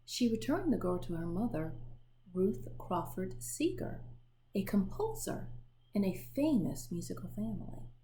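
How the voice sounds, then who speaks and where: A woman reading a children's picture book aloud in an expressive storytelling manner, pausing to show the pictures. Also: mid-range voice, a little hiss or hum, rough recording. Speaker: storybook reader.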